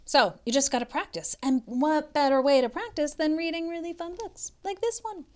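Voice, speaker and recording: A woman's voice: sits high, normal speed, darkish tone. A woman tutor reading a picture book aloud to children, expressively and instructively, drawing out some words.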